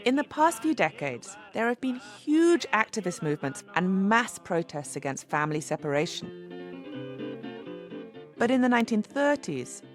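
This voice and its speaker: A woman delivering scripted documentary narration in a measured, expressive way. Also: mid-range voice, soft background music.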